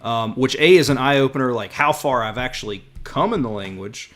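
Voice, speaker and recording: Man, mid pitch, podcast host, casual chat, hesitant, repeats words. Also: moderate pace, fairly bright tone.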